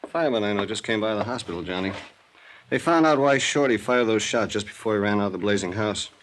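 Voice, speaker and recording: An actor playing a cynical, needling newspaper reporter in a 1950s radio drama, talking in a sardonic, rapid-fire way. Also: male voice, fairly low pitch, a bit bright, slight background noise, natural voice, low-quality recording. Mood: urgent. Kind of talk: 1950s American radio detective acting.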